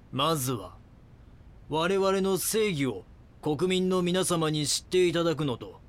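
An actor playing a messianic cult leader in an audio drama, speaking in solemn, pompous, preacher-like pronouncements. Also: male voice, on the high side.

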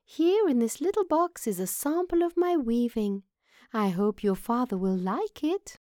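A woman's voice: a little high, lively intonation, unhurried, dark tone, no echo, clean studio sound, pitched above the performer's own voice. A woman voicing a small, proud female storybook mouse with a prim, spirited manner. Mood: bright, hopeful. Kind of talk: storybook character voice.

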